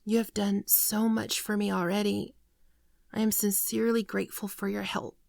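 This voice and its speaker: A woman playing a gentle, naive shapeshifting alien in an ASMR roleplay, speaking softly, hesitantly and tenderly, often near a whisper, close to the microphone. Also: mid-range voice, flat delivery, moderate pace, creaky, no echo, natural voice. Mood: earnest, apologetic. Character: gentle shapeshifting female alien, naive, earnest, shyly affectionate. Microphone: close microphone, dry sound.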